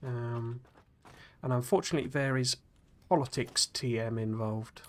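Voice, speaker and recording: A man streaming a game live, chatting in a rambling way with lots of fillers and restarts, his voice a little gruff after a cold. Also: mid-range voice, fairly even intonation, normal speed. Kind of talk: casual live-stream chat while playing.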